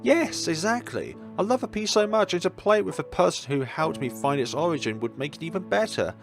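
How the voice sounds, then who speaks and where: A man voicing a shy, excitable teenage girl musician in a visual novel, gushing and a little hesitant. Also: on the high side, a little fast, vocal fry, over music, voice pushed up. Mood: eager.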